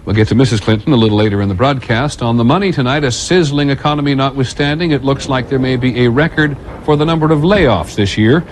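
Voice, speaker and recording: A man reading the evening news as anchor in a measured, authoritative way. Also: medium pitch, slight background noise.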